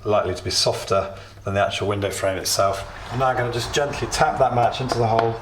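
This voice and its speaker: A British man hosting a DIY tutorial, a little hoarse as he is losing his voice, chatty and plain as he explains each step with small asides. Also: lowish voice, fairly even intonation, fast, reverberant, heavy background noise. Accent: British English. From United Kingdom. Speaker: DIY video host. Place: home workshop or kitchen.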